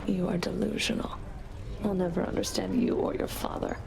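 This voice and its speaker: An actress playing a cold, menacing Fury guarding the underworld in a video game, taunting and disdainful. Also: female voice, on the low side, steady tone, moderate pace, vocal fry. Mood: cool, disdainful.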